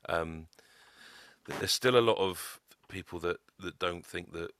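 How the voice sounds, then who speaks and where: A man telling his own story as a podcast guest, halting often with a stammer. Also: low-pitched, fairly bright tone, smooth, no room sound, studio quality. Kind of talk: personal testimony.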